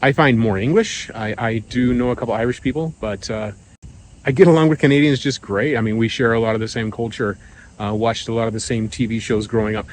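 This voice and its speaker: A middle-aged man talking in a relaxed, rambling way, with many fillers and restarts, as a vlogger sharing his views. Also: mid-range voice, fairly quick, a little hiss or hum, low-quality recording. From United States. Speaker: vlogger living abroad. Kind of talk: casual monologue.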